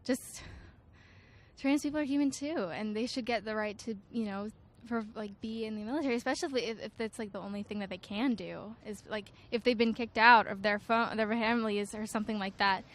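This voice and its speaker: A woman's voice: a little high, a little fast. A teenage girl answering a street interview outdoors, earnest and full of fillers. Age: teens. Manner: hesitant, filler-heavy, earnest.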